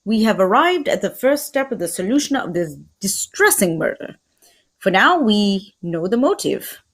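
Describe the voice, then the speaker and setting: Lady doing a fussy precise detective guy, self-assured, husky, really swoopy delivery, old radio mystery. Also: medium pitch. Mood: measured, sure.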